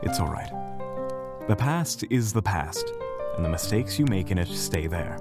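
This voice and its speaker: A man's voice: sits low, animated, measured pace. A man playing a bitter, proud villain in a fantasy audio drama, speaking in a theatrical, sardonic way. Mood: resigned, bitter. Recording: music behind the voice.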